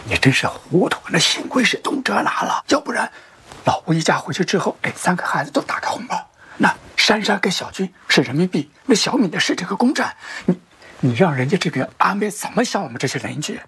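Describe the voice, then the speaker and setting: An actor playing an exasperated, fretful husband in a naturalistic television drama. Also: male voice, sits high, very animated, brisk, bright, forceful tone, husky, heavily acted. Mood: exasperated, reproachful. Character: exasperated husband fretting over neighbourly relations.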